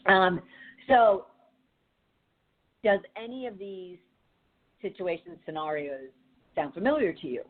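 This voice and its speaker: Deep-voiced raspy woman on tinny audio. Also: animated, slow, long pauses. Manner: direct, challenging, coaching tone with frequent fillers. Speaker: podcast host and leadership coach. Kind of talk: motivational podcast monologue.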